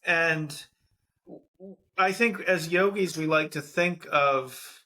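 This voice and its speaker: Male podcast host in his 50s, bright and highish voice, teasing and playful. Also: slow. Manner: playful and teasing, laughs between phrases. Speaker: podcast host and yoga teacher. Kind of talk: conversational interview hosting.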